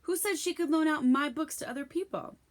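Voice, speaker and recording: A woman giving an expressive scripted reading of a children's novel as narrator. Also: high-pitched, brisk. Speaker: storybook reader.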